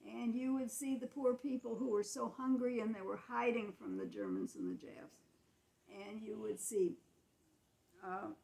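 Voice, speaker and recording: A woman's voice: a little high, gravelly, low-quality recording. An American woman in her eighties telling childhood memories to family in a casual, explanatory, matter-of-fact way. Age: 80s. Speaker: grandmother recalling her childhood.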